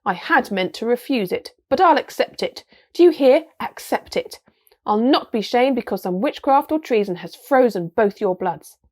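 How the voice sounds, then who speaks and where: A woman's voice: a little high, expressive, moderate pace, pitched above the performer's own voice. A woman playing a hot-tempered, imperious middle-aged king, blustering and roaring in a storybook reading. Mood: furious.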